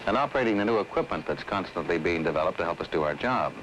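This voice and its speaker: A man acting as a friendly air traffic controller, explaining patiently and clearly in a 1960s educational film. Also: low voice, animated, racing, a bit bright. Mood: helpful, explanatory. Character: friendly male air traffic controller explaining his work to a fellow traveler. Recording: noisy old film soundtrack.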